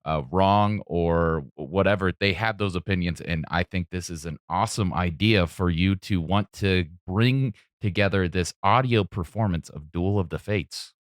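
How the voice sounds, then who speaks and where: Earnest, lower-voiced man co-hosting a podcast. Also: moderate pace, few pauses, dry recording, studio quality. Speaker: podcast co-host. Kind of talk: casual podcast chat.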